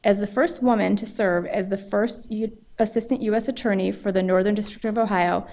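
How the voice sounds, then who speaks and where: A woman moderating a law school panel, reading formal introductions of the speakers in a measured way. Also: mid-range voice, steady tone. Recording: dull lo-fi sound.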